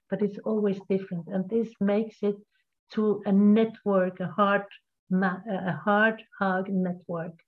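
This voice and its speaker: Lady leading a calm meditation, really slow, sounds like a phone line. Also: mid-range voice. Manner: slow, gentle guiding cadence. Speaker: workshop presenter. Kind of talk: guided meditation and group talk. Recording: tinny call audio.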